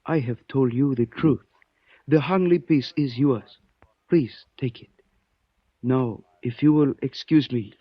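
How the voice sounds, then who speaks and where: An actor playing a calm, courteous Chinese antique shopkeeper who speaks formally and philosophically in a 1940s radio drama. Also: male voice, medium pitch, takes it slowly, dry recording. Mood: polite, firm. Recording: dull old 1940s radio recording.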